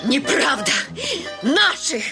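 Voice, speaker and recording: An actress playing a stubborn, quarrelsome landowner's daughter in a radio farce, insistent and haughty, turning shrill when contradicted. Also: female voice, sing-song, slow pace, exaggerated voice. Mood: defiant. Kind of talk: theatrical radio comedy acting. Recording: radio play with clear music behind.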